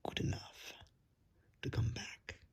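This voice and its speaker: A performer voicing a hungry, courteous but menacing vampire in a breathy, coaxing ASMR roleplay whisper. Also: close to an everyday voice. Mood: teasing, pleased. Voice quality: breathy, husky whisper with creaky edges. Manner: slow, coaxing, politely threatening.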